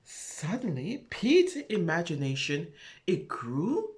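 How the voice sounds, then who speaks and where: Female storyteller for preschool read-aloud. Leisurely delivery, very lively intonation, chatty asides and questions to young listeners. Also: lowish voice, takes it slowly. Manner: expressive, wondering, talks directly to young listeners, asking questions. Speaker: children's storyteller.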